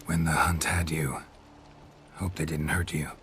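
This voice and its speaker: Low, flat-toned monster hunter. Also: male voice, measured pace. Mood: subdued, reflective. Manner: flat, clipped, understated. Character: gruff, terse, stoic male monster hunter. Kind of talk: video game cutscene acting. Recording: clear background noise and ambience.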